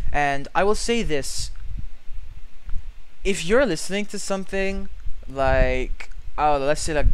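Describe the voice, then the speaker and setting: A man's voice: fairly high pitch, smooth, rough recording. A young man from Malaysia talks casually to the camera in his nearly empty bedroom, answering contest questions in a chatty, rambling way and often correcting himself mid-sentence.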